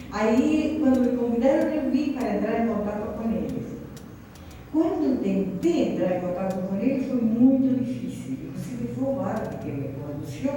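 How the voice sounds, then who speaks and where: A woman's voice: fairly high pitch, mellow, a little hiss or hum. Argentine woman talking in an echoey hall. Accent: Argentine Spanish. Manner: rambling, mixes Spanish into Portuguese. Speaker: guest artist. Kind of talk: conversational talk to an audience. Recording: echoey hall, mic far off.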